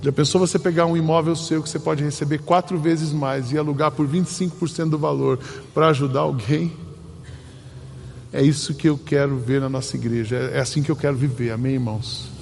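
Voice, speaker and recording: A man with a Brazilian Portuguese accent preaching a sermon as pastor, telling stories earnestly with emphatic pauses. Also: fairly high pitch, fairly even intonation, creaky, darkish tone, faint music behind. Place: church sanctuary. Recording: long hall reverb, faint ambient noise.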